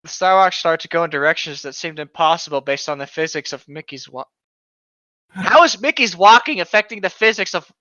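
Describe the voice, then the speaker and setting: A man co-hosting casual comedic read-alouds of internet horror stories, sarcastic, irreverent and quick to swear. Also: on the high side, expressive, sharp tone, no room sound, dull audio. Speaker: co-host.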